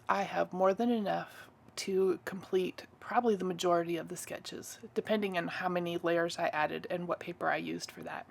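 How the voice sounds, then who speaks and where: A woman talks through a crafting tutorial in a relaxed, chatty way, full of enthusiasm. Her voice is slightly hoarse and stuffy, and she clears her throat now and then. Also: medium pitch. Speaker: card-making crafter. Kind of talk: casual crafting tutorial voice-over.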